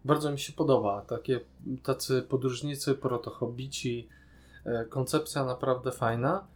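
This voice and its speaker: Male podcast co-host, average pitch, relaxed review chat with frank opinions. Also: normal speed.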